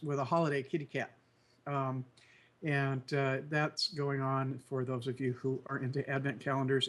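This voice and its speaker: A co-host of a casual online news chat show, slightly nasal and croaky from a cold, rambling with many fillers. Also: male voice, on the high side. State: congested, recovering from flu.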